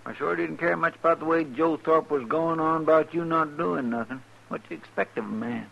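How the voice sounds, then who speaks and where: An actor playing a folksy, talkative frontier deputy with a Southern American accent and a rambling drawl, in radio western style. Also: male voice, a little high, brisk, vocal fry, bright, forceful tone, heavily acted. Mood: complaining. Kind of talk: 1950s radio western acting. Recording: dull, hissy old radio recording.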